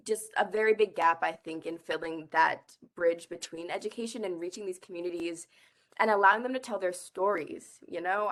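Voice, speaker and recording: A woman's voice: on the low side, a bit bright. A young woman from the Bahamas, a climate activist guest answering interview questions earnestly, with frequent "like" and "I think". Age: young adult.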